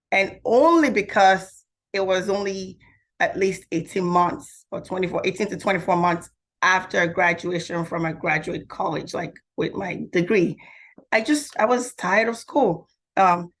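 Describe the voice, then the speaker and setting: Female podcast guest, slightly low pitch, reflective and conversational. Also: fairly bright tone. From Nigeria. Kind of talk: conversational interview answers.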